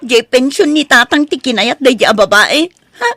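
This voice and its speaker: A woman's voice: high-pitched, lively intonation, normal speed, gravelly, a bit bright, no room sound, full character voice. A woman playing a stubborn, whining, jealous grown daughter in a comedy audio drama, speaking emphatically with an Ilocano accent. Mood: sulky plotting. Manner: emphatic, complaining, indignant.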